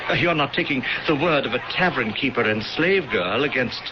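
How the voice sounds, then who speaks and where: An actor playing a haughty, scheming high priest, the dismissive villain of a radio drama. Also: male voice, expressive, normal speed, natural voice. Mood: indignant. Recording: dull, noisy old radio broadcast.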